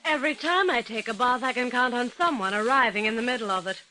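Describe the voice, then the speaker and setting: A woman's voice: fairly high pitch, quick pace, bright, forceful tone. An actress playing a haughty, irritated young society woman in an old radio drama, curt and imperious in manner. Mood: annoyed.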